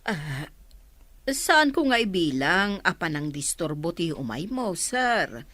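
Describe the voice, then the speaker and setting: A woman's voice: fairly low pitch, sing-song, slow pace, fairly bright tone, no echo, full character voice. An actress playing a humble, hospitable provincial mother in an Ilocano radio drama, with an Ilocano accent. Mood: humble, gracious.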